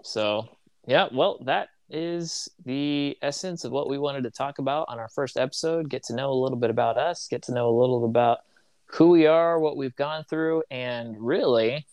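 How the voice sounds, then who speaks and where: A young man hosts a casual podcast, talking in a rambling way and acting out little conversations. His pitch sits in the middle, and the close mic sounds dry with no room. He grew up in Tanzania. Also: moderate pace, darkish tone, smooth, dry recording. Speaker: podcast host.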